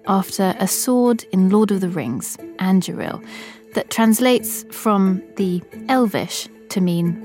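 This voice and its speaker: Woman host for investigative narration, measured storytelling, quiet music bed. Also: medium pitch. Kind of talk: scripted narration.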